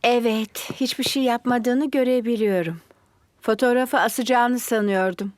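A woman voicing a kind, playful mummy pig in a children's cartoon dub, gentle and encouraging. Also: on the high side, steady tone, unhurried, no room sound, clean studio sound, close to an everyday voice. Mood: wry.

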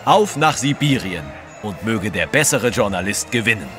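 An actor playing a courteous, competitive English reporter with a formal, proper manner, in a German audio drama. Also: male voice, lowish voice, big pitch swings, normal speed, music in the background. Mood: brisk, competitive. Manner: formal, polite, slightly proper.

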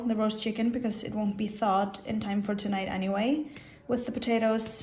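A woman's voice: mid-range voice, darkish tone. A woman vlogger chatting casually with many fillers, explaining her weekly grocery plan at home.

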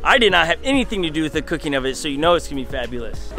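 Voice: medium pitch, big pitch swings, very fast. A vlog host chatting casually to camera in a jokey, playful way. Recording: loud background music. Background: music.

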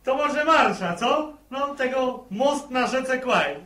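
An actor playing a cheeky, excited farm lad in a 1960s Polish radio drama. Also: male voice, extremely high pitch, slow pace, full character voice. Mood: mischievous. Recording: noisy, echoing old radio recording.

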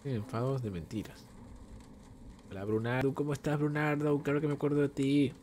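A man's voice: medium pitch, steady tone, fairly quick, a little hiss or hum. A young man from Venezuela streaming gameplay from home, chatting with his viewers and answering questions from chat at a desk microphone. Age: young adult. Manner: chatty, warm greetings to viewers, reads chat aloud. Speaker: gaming streamer. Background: faint game music.

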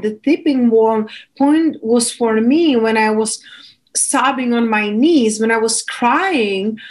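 Woman, Czech accent, thirties, fairly high voice, earnest and open, video call guest. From Czech Republic. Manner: earnest, open, gestures with hands. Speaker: guest, transformational coach. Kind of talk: interview over video call. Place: small home room indoors. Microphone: studio mic on video call.

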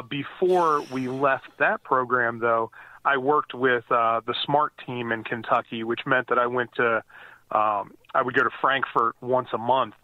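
A man's voice: mid-range voice, no room sound. A man in his forties, from the American East Coast, talks loosely and keeps circling back with fillers and restarts. His voice comes over a thin, phone-like line with no room sound at all. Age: 40s. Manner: rambling, many fillers and restarts. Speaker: guest author. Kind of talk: casual interview conversation. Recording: dry tinny phone-like line.

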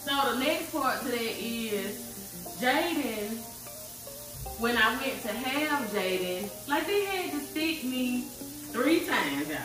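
A woman in her forties co-hosting a family vlog, telling a story to viewers in a lively way and calling them y'all. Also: fairly high pitch, slow, far from the mic. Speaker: vlog co-host, wife. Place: home kitchen, indoors. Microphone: far camera. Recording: very echoey kitchen from afar with music.

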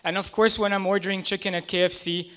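A man's voice: sits high, fairly even intonation, quick pace, fairly bright tone, clean tone. A man in his thirties doing a stand-up set on a small club stage, talking into a handheld mic in a conversational, self-deprecating way. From United States. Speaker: stand-up comedian. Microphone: handheld stage microphone.

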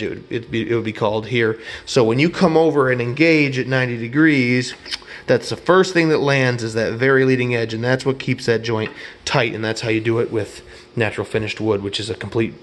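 A middle-aged man casually teaching carpentry, talking in a rambling, folksy way with frequent self-corrections, recorded on a head-mounted camera in an empty room. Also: medium pitch. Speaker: carpenter teaching trim work. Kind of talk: casual hands-on instruction. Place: empty apartment room under renovation, indoors. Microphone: head-mounted action camera.

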